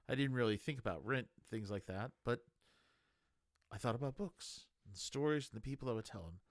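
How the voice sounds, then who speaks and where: Man, amateur book reader, meandering and halting, close dry recording, mid pitch. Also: a bit bright, no echo. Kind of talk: casual chat, then reading a novel aloud.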